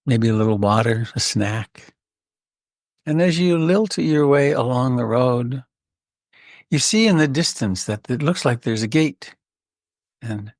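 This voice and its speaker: A man leading a guided meditation as a teacher, speaking gently and unhurriedly with frequent pauses. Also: medium pitch, dry recording, studio quality.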